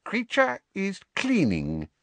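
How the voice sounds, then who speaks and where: A man's voice: high-pitched, huge pitch swings, takes it slowly, gravelly, no echo, pitched above the performer's own voice, full character voice. A man voicing a bitter old male house-elf servant who mutters spitefully and fawns, speaking of himself in the third person, in an audiobook story. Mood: fawning, evasive.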